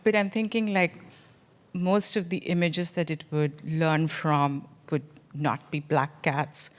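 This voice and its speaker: A woman in the audience asking a question at a conference, hesitant and halting, with many fillers. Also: sits low, fairly even intonation. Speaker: audience member.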